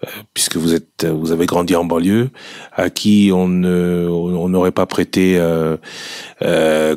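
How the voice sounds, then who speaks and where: A man interviewing a guest on the radio, asking probing, measured questions with some fillers. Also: low voice, a little mellow, no echo, clean studio sound.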